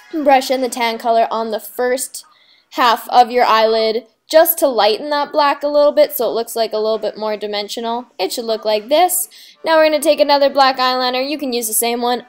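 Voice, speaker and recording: Youngish girl doing a casual makeup tutorial, kinda high voice, slangy n breezy, bit sick and losing her voice. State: slightly sick, voice giving out. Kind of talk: casual how-to tutorial narration.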